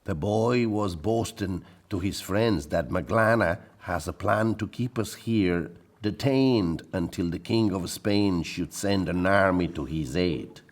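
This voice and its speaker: A man's voice: deep voice, takes it slowly, few pauses. A man playing a sombre, anxious Spanish castaway in a period audio drama. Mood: worried.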